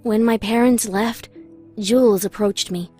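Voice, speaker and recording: A young woman one would hear telling a confessional, dramatic story in an animated short, medium-pitched, with faint music underneath. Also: measured pace. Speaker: first-person story narrator. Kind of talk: dramatic scripted narration.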